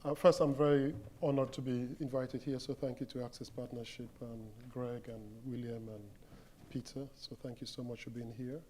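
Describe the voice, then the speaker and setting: A man's voice: medium pitch, little pitch movement, normal speed, dark tone, a little hiss or hum. A man from Cameroon giving opening remarks as a panelist at a conference, speaking emphatically and pushing harder on key points. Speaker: panelist, consultancy managing partner. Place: conference hall.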